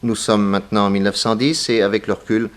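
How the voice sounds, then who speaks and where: A man playing a shrewd, authoritative detective in a 1960s French radio mystery, addressing suspects with deliberate, theatrical command. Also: on the low side, little pitch movement, close to an everyday voice. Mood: explanatory, assured.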